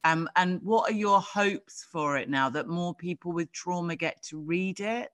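A host chats in a casual interview, encouraging the guest with constant backchannels and bright exclamations. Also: mid-range voice, measured pace. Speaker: podcast host.

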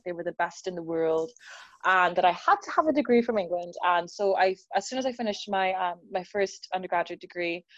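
A woman's voice: mid-range voice, no room sound. Trinidadian-American woman, dull dry call audio. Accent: mixed Trinidadian and American. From Trinidad and Tobago. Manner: chatty, laughs easily, trails off with fillers. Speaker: holistic personal trainer, guest. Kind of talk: casual conversational interview.

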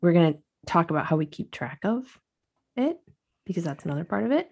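A woman's voice: fairly low pitch, very animated, fairly quick, creaky, a little mellow, slightly rough. A woman co-hosting a podcast, chatting casually with her sister, with lots of fillers and easy laughter. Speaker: podcast co-host. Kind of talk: casual chat.

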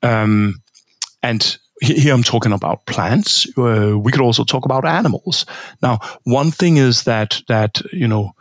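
A man from Denmark answers interview questions at an even middle pitch, pausing often with fillers and restarting his phrases. The sound is dry and close, with little room in it. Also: no room sound. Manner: thoughtful, halting, many fillers and restarts. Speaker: guest, historian of religion.

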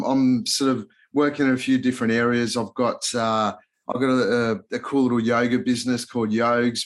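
A man in his thirties, born in Hungary and raised in Australia, with an Australian accent, talking as an interview guest in a laid-back, slangy way full of fillers and restarts. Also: medium pitch. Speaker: guest, yoga and mindfulness coach. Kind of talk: casual podcast interview.

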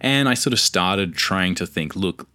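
A man's voice: low-pitched, expressive, unhurried, pushed and bright, dry recording, studio quality. An Australian man in his forties hosting a podcast, talking through his career in a reflective, rambling way with many fillers and restarts. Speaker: podcast host. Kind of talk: casual solo podcast monologue.

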